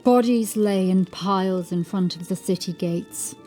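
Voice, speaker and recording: A woman reading scripted horror fiction as narrator, in a dramatic, measured storytelling manner. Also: medium pitch, mellow. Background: music.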